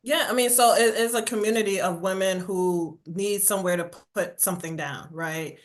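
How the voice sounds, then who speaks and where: A woman's voice: medium pitch, normal speed. A woman from Jamaica with a New York accent, a guest on a podcast, talking casually and animatedly, acting out quotes and tagging lines with "right?". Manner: animated storyteller, says "right?" constantly, acts out quotes. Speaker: podcast guest, burnout coach. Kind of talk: casual conversational interview.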